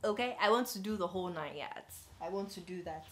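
A woman's voice: on the low side, a little fast. Playful young woman vlogging. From Ghana. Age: 20s. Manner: chatty, playful, addresses viewers directly. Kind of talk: casual vlog chat to camera. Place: bedroom, indoors.

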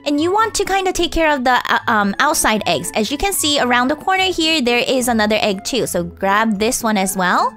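A woman's voice: high-pitched, fairly bright tone. A woman casually narrating a game walkthrough, guiding viewers step by step, her voice tired and strained. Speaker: gaming channel host.

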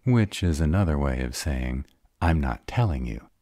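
A man's voice: extremely low pitch, lively intonation, normal speed, warm tone, no room sound, studio quality. A man playing a sarcastic, guarded grown vampire who is insecure about love, in an audio roleplay. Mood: defensive, wry. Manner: sarcastic, wry.

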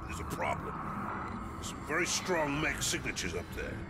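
An actor playing an eager, scrappy mechanic sidekick in a video game, explaining things with a slightly needling manner. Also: male voice, fairly high pitch, very animated, normal speed, heavy background noise. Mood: scheming, confident. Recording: loud mechanical ambience behind.

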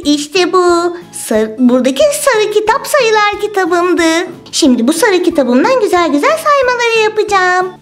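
A woman talks in a very high, sweet, childlike sing-song voice as she plays with toys. Faint music runs quietly behind her. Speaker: toy video narrator voicing all the dolls. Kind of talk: playful toy play with children's song.

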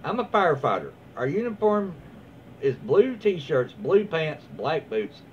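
A dull, noisy home recording of a man reading online stories aloud. His pitch moves around a lot as he slips between reading and easygoing, rambling commentary. He sounds folksy and casual, like a host chatting from his own room. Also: big pitch swings, a little slow. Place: small room at home, indoors. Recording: dull lo-fi sound with clear background noise.

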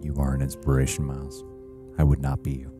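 Deep-voiced man reacting to a game. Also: fast. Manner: relaxed, joking. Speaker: reaction host. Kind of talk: casual reaction commentary. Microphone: headset mic. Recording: game music playing behind.

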